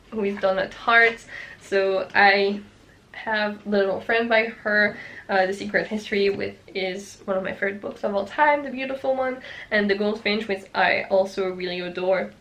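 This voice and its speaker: A woman's voice: medium pitch, steady tone, normal speed, a bit bright, slight background noise, low-quality recording. A young woman from France with a French accent, chatting casually to camera about books she loves, restarting her sentences often. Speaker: book vlogger.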